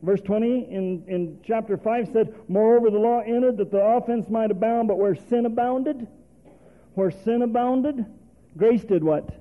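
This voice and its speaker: A man's voice: sits high, unhurried. A male preacher, delivering a sermon full of rhetorical questions and calls for response. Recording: tinny phone-like sermon recording.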